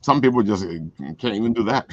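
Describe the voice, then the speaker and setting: Male host, casual and encouraging, very animated intonation, close dry recording with dulled tone. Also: mid-range voice, dry recording. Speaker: show host. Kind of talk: casual chat. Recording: dry, dull lo-fi call audio.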